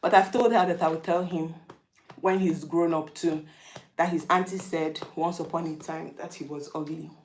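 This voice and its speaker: A woman's voice: deep voice, steady tone, moderate pace, darkish tone, reverberant, slight background noise, poor audio. A woman from Nigeria with a Nigerian accent, sharing her opinions in a casual, reflective monologue full of rhetorical questions. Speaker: vlogger.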